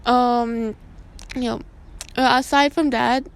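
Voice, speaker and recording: A young woman from Laos, a student answering interview questions in a relaxed, rambling way. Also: on the high side, takes it slowly, clean tone. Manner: relaxed, rambling, with many fillers.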